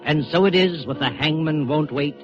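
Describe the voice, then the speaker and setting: A man's voice: a little high, moderate pace, muffled recording. A man hosting an old-time radio suspense show, giving scripted narration in a formal, dramatic way. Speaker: announcer and host. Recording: band-limited old radio, loud music behind. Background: music.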